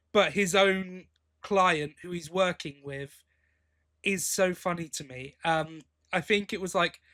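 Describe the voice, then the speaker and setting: A man co-hosting a podcast, giving a casual review in a halting way, thinking aloud as he goes. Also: high voice, pushed and bright. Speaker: podcast co-host.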